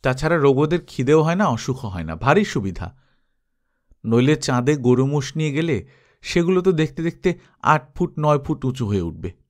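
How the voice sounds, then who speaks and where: A man's voice: medium pitch, sing-song, normal speed, close to an everyday voice. A man voicing an eager, boastful boy chattering about big plans in a storybook reading. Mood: eager, excited. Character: eager boy dreaming of moon ventures.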